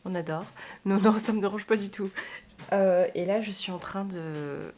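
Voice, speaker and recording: A woman from Belgium talks casually to camera as a book vlogger. Her voice sits at a medium pitch for a woman. She is chatty and informal, with a self-deprecating way of talking. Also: normal speed, a little mellow, faint music behind.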